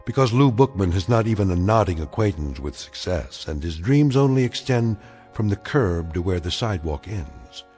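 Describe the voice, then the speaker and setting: An actor playing a grave, omniscient narrator of uncanny radio tales, speaking in clipped, portentous, measured phrases. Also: male voice, low voice, animated, darkish tone. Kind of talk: dramatic scripted radio narration. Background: music.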